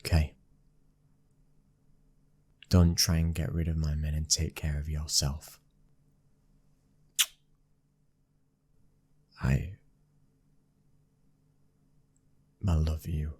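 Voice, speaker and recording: Young British mafia heir, played by a man, commanding yet loving. Very low, breathy-husky, restrained and level, for intimate ASMR roleplay. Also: level tone, a little slow, dark tone, exaggerated voice. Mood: tender. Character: commanding, protective young male mafia boss's son, loving husband.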